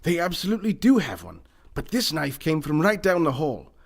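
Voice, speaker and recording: A pompous, wealthy eccentric gentleman played by a man, pitched fairly high. He speaks formally and theatrically, like the lord of the house in a comic radio mystery. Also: huge pitch swings, normal speed, vocal fry, husky, exaggerated voice. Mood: matter-of-fact, pompous. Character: pompous wealthy eccentric businessman and hunter, male. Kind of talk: comedic old-time radio mystery acting.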